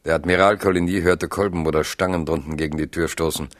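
A man narrating a historical radio play as a grave storyteller, in a solemn, measured, literary manner. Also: low voice, steady tone, dry recording, studio quality, natural voice. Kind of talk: dramatic scripted narration for German radio play.

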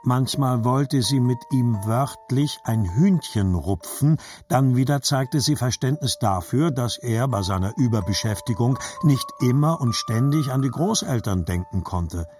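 A man's voice: mid-range voice, animated, a little slow, hardly any pauses, darkish tone, soft background music, natural voice. A man narrating scripted literary childhood memories as a grown grandson in an audio drama. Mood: reflective.

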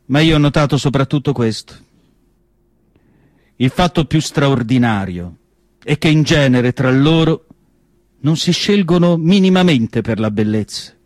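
A man performing a theatrical literary monologue, declamatory, with deliberate phrasing and pauses. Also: medium pitch, lively intonation, a little mellow, no room sound. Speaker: stage actor reading literary prose. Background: music.

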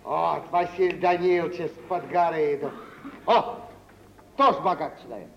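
An actor playing a young, cheeky and curious café waiter in a radio drama, with a colloquial, playful way of talking. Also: male voice, fairly high pitch, normal speed, gravelly, a little hiss or hum. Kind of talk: mid-century Russian radio theatre acting. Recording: muffled 1950s radio play, reverberant stage sound.

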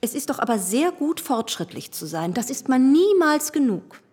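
A woman's voice: high voice, very animated, normal speed, a little mellow, breathy edge, exaggerated voice. An actress in a literary radio drama playing a gossipy, opinionated society lady, chatty and emphatic.

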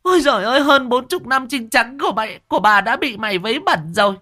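A man's voice: very high voice, expressive, fast talker, sharp tone, pitched above the performer's own voice, heavily acted. A man voicing a furious middle-aged village woman who screeches curses. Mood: shrieking furious.

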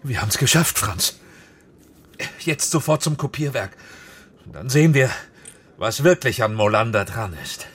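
Mid-pitched, driven, exhausted film director. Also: male voice, lively intonation, a little slow, a bit bright, slightly rough. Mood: relieved, then urgent. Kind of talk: naturalistic radio drama dialogue.

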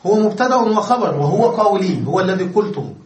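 A man with a high voice gives a patient grammar lesson, explaining step by step and checking that his class understands. He is recorded from a distance in a roomy, echoing lecture room. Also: very animated, a little fast. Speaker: Arabic grammar teacher. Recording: echoey room, mic far off.

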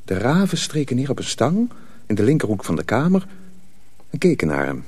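A man narrating a dramatised story as a scripted, dramatic storyteller, with a husky voice. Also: mid-range voice, huge pitch swings, fairly quick, darkish tone, dry recording.

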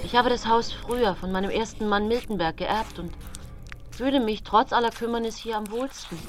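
An actress playing a polite woman seeking lodging in a radio play, speaking in a courteous, formal, measured way. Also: a little high, over music, close to an everyday voice. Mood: polite, pleading.

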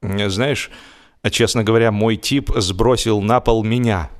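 A man voicing a tipsy, earnest young man with a halting, deliberate manner in a comic audiobook. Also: on the low side, steady tone.

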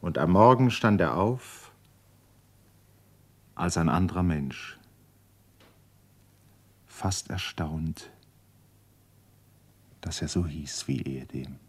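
A man narrating a literary radio drama in a measured, solemn manner. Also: low-pitched, breathy edge.

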